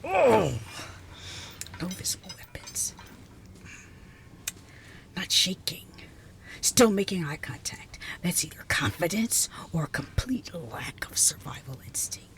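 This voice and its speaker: Casting: woman to play reclusive genius scientist, female, imperious yet easily flustered; breathy whispers, wildly varied pitch, halting delivery for ASMR roleplay. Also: big pitch swings, heavily acted. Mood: wary, commanding. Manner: halting, repetitive, sighing, imperious.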